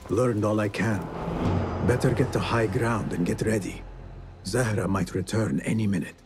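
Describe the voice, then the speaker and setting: A man's voice: on the low side, fairly even intonation, normal speed, close to an everyday voice. Determined, watchful young assassin, played by a man, music behind. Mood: focused. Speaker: game protagonist. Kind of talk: dramatic video game dialogue and inner monologue.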